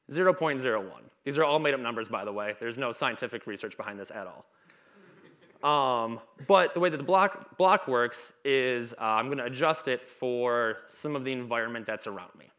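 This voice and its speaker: A man's voice: medium pitch, bright, forceful tone. A man in his thirties giving a conference talk on stage in a casual, chatty way, with stutters, repeats and blocks. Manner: casual chit-chat delivery. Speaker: conference speaker. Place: dark stage in a conference hall.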